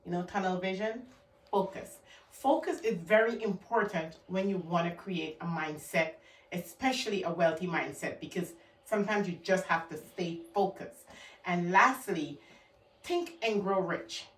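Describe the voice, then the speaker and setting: A woman's voice: lowish voice, measured pace, reverberant, distant mic. A woman with a Guyanese accent giving an emphatic motivational talk, repeating her key words for stress. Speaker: motivational vlogger.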